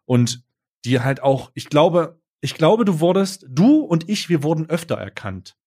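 Laid-back German podcast co-host. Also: male voice, fairly high pitch, very animated, darkish tone, breathy edge. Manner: laid-back, halting with fillers and restarts. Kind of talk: casual chat.

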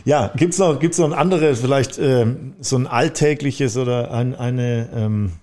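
A podcast host with a mellow, dark-toned male voice. He asks loose, rambling questions and fills his pauses with hesitations. His pitch sits in the middle range. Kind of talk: casual interview questions.

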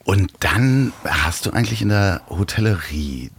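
Deep-voiced podcast host. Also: male voice, lively intonation, unhurried, vocal fry, breathy edge, no echo. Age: younger than guest. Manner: friendly questioner, laughs often. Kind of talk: podcast interview.

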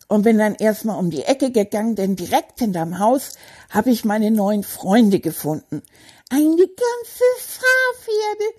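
Brief: woman plays a male stuffed toy rat for a kids' audio story, husky and mellow, high-ish and gossipy. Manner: excitable, gossipy, childlike storytelling. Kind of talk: children's audio story monologue.

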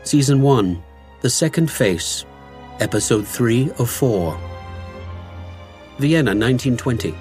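A man narrates an audio drama from a script in a dramatic announcer's style. His voice sits at a medium pitch, with faint music underneath. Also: animated, slow pace, a little mellow.

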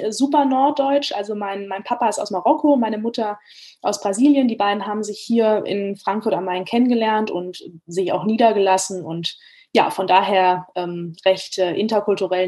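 A woman in her thirties from Germany, an airline pilot telling her story in an interview, talking lively with many fillers. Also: a little high. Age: 30s.

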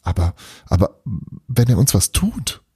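A man's voice: fairly low pitch, sing-song, moderate pace, warm tone, husky, no echo, studio quality, pitched above the performer's own voice, full character voice. A man voicing a timid, frightened boy in a children's story, with an anxious, faltering delivery. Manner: anxious, stammering.

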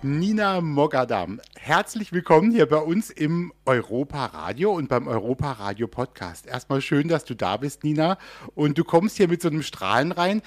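A man hosting a radio podcast interview from a studio, chatty and relaxed, with small laughs and fillers. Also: a little high, moderate pace, dry recording, faint music behind. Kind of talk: friendly interview in German. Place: radio studio in a theme park.

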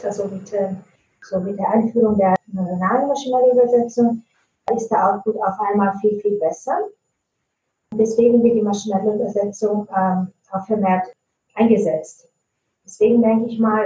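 A woman's voice: medium pitch, dark tone. A woman from Italy, an Italian native speaker, answering interview questions as a translator and trainer, thoughtful with frequent hesitation fillers. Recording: roomy, distant, dull lo-fi sound.

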